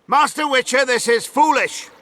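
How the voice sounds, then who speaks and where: A man's voice: extremely high pitch, moderate pace, sharp tone, a little hiss or hum. A man voicing an indignant, coarse river-port townsman in a video game, blunt and scolding.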